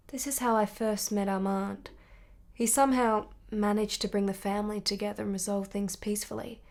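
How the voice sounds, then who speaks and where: A woman playing a candid young wife who yearns for romance in a radio drama, speaking in measured, articulate, intense phrases. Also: medium pitch, darkish tone, lightly acted.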